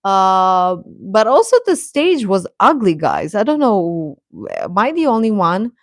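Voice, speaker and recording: A woman hosting a casual livestream, chatting and commenting in a hesitant, halting way. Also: mid-range voice, big pitch swings, no room sound, studio quality. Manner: hesitant, halting, chuckles often while talking. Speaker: livestream host reading viewer comments.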